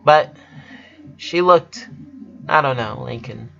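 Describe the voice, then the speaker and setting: A man voicing an anxious, shaken older sister in a storybook, halting and fretful. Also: medium pitch, gravelly, bright, forceful tone, highs cut off, exaggerated voice.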